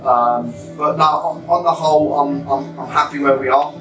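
Thirties man, London accent, measured post-match talk, even and flat, in a boomy changing room. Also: music in the background. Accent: London English. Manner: measured, reflective, many fillers and restarts. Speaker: football manager. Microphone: camera mic at a few metres. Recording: echoey small room, distant mic.

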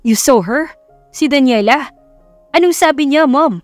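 A woman's voice: high voice, animated, measured pace, no room sound, faint music behind, voice pushed up. Woman acting a curt Filipino billionaire boss. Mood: distressed, demanding. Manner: curt, commanding, switches languages. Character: proud, demanding young billionaire boss, male, easily baffled.

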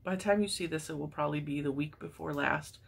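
A woman's voice: low-pitched, fast talker, vocal fry, slightly rough. A woman in her forties hosting her crochet channel in casual chat, wheezy and congested, talking with lots of laughs. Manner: chatty, laughs often, animated hand gestures. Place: home craft room lined with yarn shelves.

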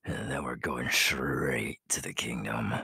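A man playing a ruthless, menacing young werewolf alpha in an ASMR audio roleplay, gravelly and breathy, slow and boastful, often dropping to a whisper. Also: a bass voice, sharp tone, no echo, full character voice. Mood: menacing, ambitious.

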